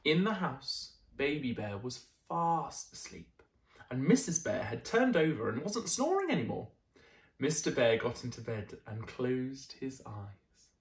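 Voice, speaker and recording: A young man reading a bedtime picture book to children in an expressive, deliberate way. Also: mid-range voice, recorded from a distance. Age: young adult. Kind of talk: animated children's story reading. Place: small indoor room, seated. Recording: roomy indoor echo.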